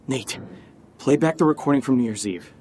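Actor as a blunt, exasperated man, medium pitch. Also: normal speed, dark tone, natural voice. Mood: insistent. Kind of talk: horror audio drama acting. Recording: clearly noisy background ambience.

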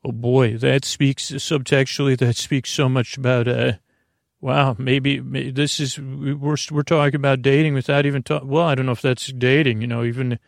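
Gravelly, meandering man rambling softly off-script. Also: medium pitch, little pitch movement, no room sound, clean studio sound. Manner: meandering, halting, self-interrupting. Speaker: podcast host. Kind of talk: rambling improvised sleep-podcast monologue.

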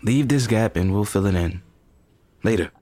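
Protective partner for audio fiction male actor: low-pitched, controlled, caring yet holding back, intimate dry recording. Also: moderate pace, dark tone, no room sound, natural voice. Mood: guarded, firm. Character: guarded, caring boyfriend, firm and evasive. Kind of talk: serialized audio drama acting.

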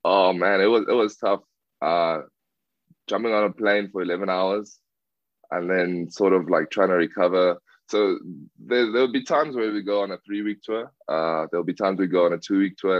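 Brief: young South African man, very low voice, hesitant rambling, dry dull lo-fi sound. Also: no echo. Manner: hesitant, many fillers and restarts. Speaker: rugby player guest. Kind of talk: casual interview answers.

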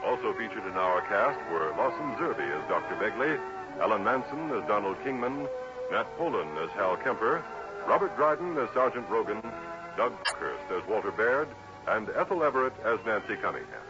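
Over clear background music on a muffled old recording, an American male announcer reads credits. His delivery is polished and projected in vintage radio style, with a lively, swinging pitch. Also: moderate pace.

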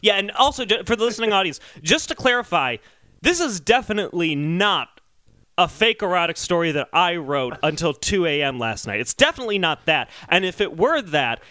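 A man hosting a comedy podcast, bantering casually and sometimes reading a story aloud in an excitable, mock-earnest way. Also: sits high, a little fast, no room sound.

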